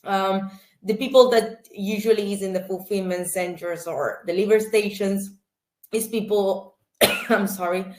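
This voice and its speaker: A woman's voice: mid-range voice, fairly even intonation, unhurried, reverberant. A woman recruiter giving an online presentation about internships, hesitant, with many fillers and restarts.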